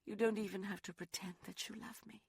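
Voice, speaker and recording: A man voicing a proud, hurt young woman in an audiobook, pleading and sharp. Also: high voice, steady tone, a little fast, gravelly, husky, no room sound, clean studio sound, voice pushed up, exaggerated voice. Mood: wounded. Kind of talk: audiobook character voice.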